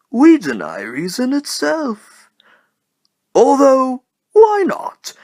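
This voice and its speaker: Mad clown supervillain: slow, sardonic showman delivery, huge pitch swings, cartoon menace, dry close sound. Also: dry recording, full character voice. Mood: sly, unhinged.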